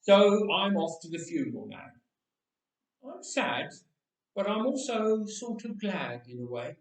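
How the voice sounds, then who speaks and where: A man's voice: high-pitched, very animated, unhurried, exaggerated voice. A man playing a chatty, wry young man of Bible times who tells of being raised from death, in a dramatic first-person monologue. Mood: wistful. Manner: conversational, wry understatement. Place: church, voice echoing. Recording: roomy church echo, distant microphone.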